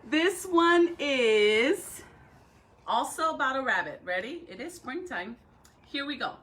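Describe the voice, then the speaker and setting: A woman talking and reading aloud to young pupils as a school educator, with expressive, drawn-out phrasing. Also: sits high. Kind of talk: read-aloud for children with chatty intro.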